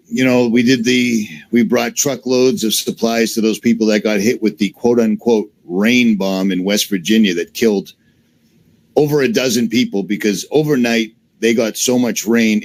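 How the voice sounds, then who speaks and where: Blunt Massachusetts man, low voice. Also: normal speed. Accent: Boston-area (Massachusetts). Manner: blunt, matter-of-fact, dismissive asides. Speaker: YouTuber, interview guest. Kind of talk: casual interview answers.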